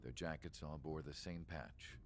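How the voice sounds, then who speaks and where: Game cinematic narrator: wistful military researcher, extremely low gravelly voice, measured delivery, faint background noise. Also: male voice, fairly even intonation. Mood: measured, reflective. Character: reflective male military researcher recounting the past.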